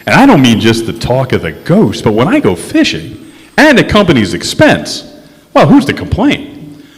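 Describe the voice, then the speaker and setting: A man's voice: mid-range voice, huge pitch swings, normal speed. A man playing a skeptical, wry investigator in old-time radio style, both narrating his case and pressing others for answers. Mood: wry narration. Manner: wry, insistent. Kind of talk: 1940s-50s radio detective acting on stage. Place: live stage in a museum courthouse. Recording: echoey hall, music behind.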